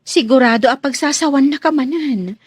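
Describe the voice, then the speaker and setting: A woman's voice: high-pitched, normal speed, no echo, studio quality, lightly acted. A woman acting in a radio drama as a sensible housemaid who questions her friend, on a slightly noisy recording. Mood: questioning.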